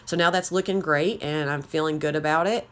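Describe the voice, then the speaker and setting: A woman with a slightly raspy voice, chatting casually as she walks through a craft tutorial. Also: low voice, steady tone, a little fast. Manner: chatty, rambling, self-deprecating. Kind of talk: casual how-to narration.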